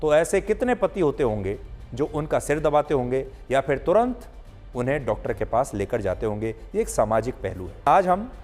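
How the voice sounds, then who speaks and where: A man presenting a scripted explainer in a deliberate, didactic television news manner. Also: medium pitch, sing-song, darkish tone, soft background music.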